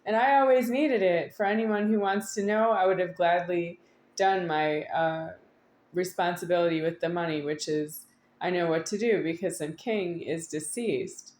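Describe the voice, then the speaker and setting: A woman giving a rambling, unscripted monologue to an audience, halting at times with drawn-out words and insistent repetition. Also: fairly low pitch, a little mellow. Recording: echoey room.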